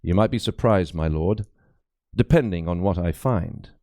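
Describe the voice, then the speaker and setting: Man voicing a cool, dark-toned medieval sleuth. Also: low voice, normal speed, no room sound, clean studio sound, voice pushed down. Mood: wry, calm. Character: cool, skeptical medieval male investigator for hire. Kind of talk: dramatic audiobook character acting.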